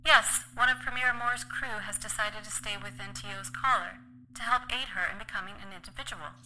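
A woman playing an earnest, protective young heroine in a sci-fi audio drama, gentle and reassuring. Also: medium pitch, a little fast, a little hiss or hum, poor audio. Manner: pleading, reassuring.

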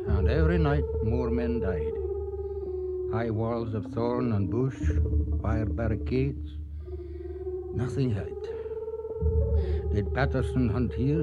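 A man giving dramatic scripted radio narration in a grave, measured, theatrical manner. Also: medium pitch. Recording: muffled radio recording, loud music behind.

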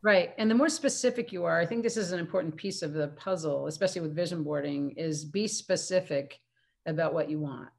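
A woman with a deep voice, hosting a casual conversation and laughing easily. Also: a little fast, creaky, a little mellow.

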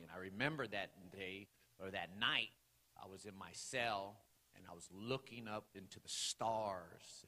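A man in his forties delivers a testimony sermon in a church sanctuary, his fairly low voice ringing out with a long tail of reverb. He talks in a loose, conversational way, full of fillers, then rises into loud bursts of preaching. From Los Angeles area, California. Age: 40s.

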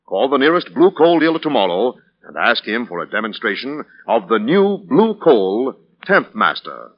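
Animated man announcing on old radio. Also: medium pitch. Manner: emphatic, polished, dramatic. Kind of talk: 1940s radio scripted announcing. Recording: dull 1940s radio recording.

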